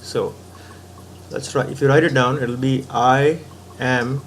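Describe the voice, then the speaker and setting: Man, mid-pitched, patient explainer, reasoned spiritual lesson, faint noise behind. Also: fairly even intonation, slow pace. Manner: patient, step-by-step reasoning, frequent "right?" checks. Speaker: spiritual teacher. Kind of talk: reasoned philosophical talk to camera.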